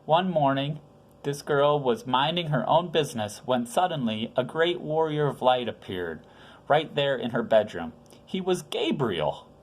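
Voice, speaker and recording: A woman's voice: very deep voice, animated, a little slow, a little mellow. A woman reading a children's Bible story aloud, with informal, conversational asides in simple words. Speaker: storybook reader.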